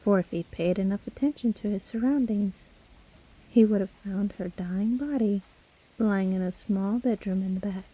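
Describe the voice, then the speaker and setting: A woman playing a sly, teasing witch storyteller in a fantasy audio roleplay, speaking in a soft, breathy, theatrical and condescending way. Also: mid-range voice, normal speed, close to an everyday voice, rough recording. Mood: ominous, hushed. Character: sly, gloating witch storyteller toying with her trapped victim.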